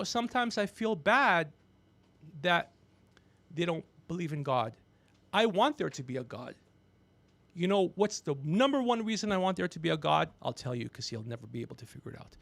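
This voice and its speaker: A man's voice: high-pitched, vocal fry, fairly bright tone, no room sound. A man in his fifties hosting a casual comedy livestream, rambling, teasing and swearing as he talks. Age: 50s. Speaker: podcast host.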